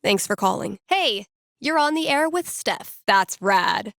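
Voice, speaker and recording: A woman's voice: mid-range voice, expressive, measured pace, gravelly, fairly bright tone, dry recording. A woman voicing an upbeat young radio DJ in a video game, conversational and teasing, with dry humour as she talks to callers. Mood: earnest, supportive, then breezy, teasing. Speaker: radio DJ taking calls and telling fortunes. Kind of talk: playful radio host patter, storytelling to callers.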